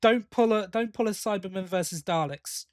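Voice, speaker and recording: A man's voice: sits high, moderate pace, no echo, studio quality. A man co-hosting a podcast in casual review chat, often hesitating and correcting himself as he goes. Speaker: podcast co-host.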